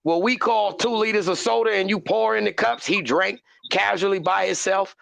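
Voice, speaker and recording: A man's voice: high-pitched, normal speed, creaky, fairly bright tone, dry recording. A man who hosts a podcast, teasing and joking with his guests in casual banter. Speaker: podcast host. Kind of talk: casual chat.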